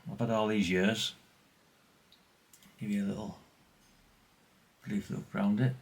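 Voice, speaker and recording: Male vlogger, fifties, lowish voice, unscripted and rambling, faint background noise with rustling. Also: steady tone, slow. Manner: rambling, chatty, with asides. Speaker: vlogger showing vintage CB radios. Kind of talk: casual, unscripted chat.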